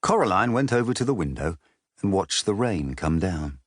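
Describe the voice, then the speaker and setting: A man's voice: deep voice, sing-song, moderate pace, dry recording, studio quality. A man narrating a children's storybook as a scripted audiobook.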